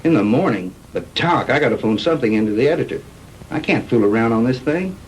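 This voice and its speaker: A man's voice: medium pitch, lively intonation, fast talker, close to an everyday voice. A man playing a brash, wisecracking newspaper reporter with breezy, slangy, insistent delivery in 1960s B-movie style. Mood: pressing, skeptical. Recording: noisy old 1960s film soundtrack.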